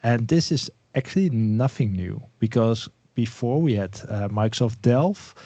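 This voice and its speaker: Mellow, dull-sounding man from the Netherlands. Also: medium pitch, expressive, a little slow, no echo. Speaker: Microsoft 365 specialist guest. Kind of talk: casual online panel talk.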